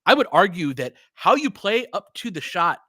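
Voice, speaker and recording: A man's voice: high voice, big pitch swings, moderate pace, pushed and bright, no echo, clean studio sound. A man hosting a live basketball stream, chatting and commentating casually in a blunt, salty, informal way.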